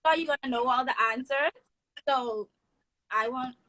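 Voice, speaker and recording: A woman's voice: high-pitched, moderate pace, fairly bright tone, poor audio. A young woman hosting a live chat, upbeat as she reads sponsor plugs and puts questions to her guest over a phone livestream. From Bahamas. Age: 20s. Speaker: host. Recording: phone livestream with faint noise.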